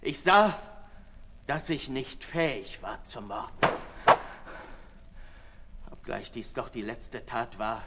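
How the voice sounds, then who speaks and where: An actor playing a brooding, disillusioned aristocrat in a 1960s German radio play, declaiming deliberately, from hushed to loud. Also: male voice, mid-range voice, heavy background noise. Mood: reflective. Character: Marquis de Sade, brooding disillusioned aristocrat, intense and self-lacerating. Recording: 1960s radio recording in reverberant hall.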